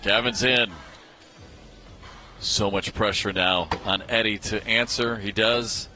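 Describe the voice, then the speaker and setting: A man giving live televised play-by-play commentary on a championship match, in an excitable manner that builds with the action. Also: on the low side, takes it slowly, soft background music.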